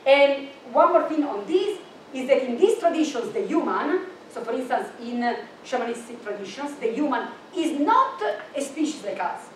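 A woman's voice: high voice, expressive, moderate pace, a little hiss or hum. A middle-aged woman giving a conference keynote lecture, thinking aloud with restarts, recorded from the audience in a large university room. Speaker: keynote speaker. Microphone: far camera in the audience. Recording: echoey room from far off.

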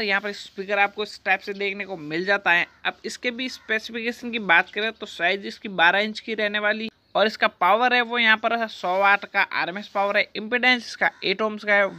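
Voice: medium pitch, sharp tone. A narrator giving a scripted explainer in a repetitive, formulaic listing manner. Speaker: product review narrator.

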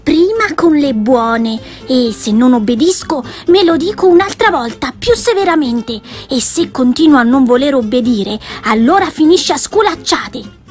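A woman's voice: sits high, measured pace, faint music behind, voice pushed up. A woman doing a boastful, cheerful little girl. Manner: lively, exclaiming, storytelling.

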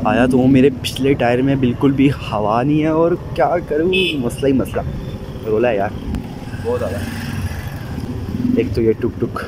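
A young man from Pakistan, chatting casually to viewers as he rides, recorded on a bike-mounted action camera outdoors. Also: mid-range voice. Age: young adult. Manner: chatty, talks to viewers while riding. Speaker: vlogger. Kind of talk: casual motovlog chat. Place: outdoors on city streets. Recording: street and traffic noise behind. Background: traffic noise.

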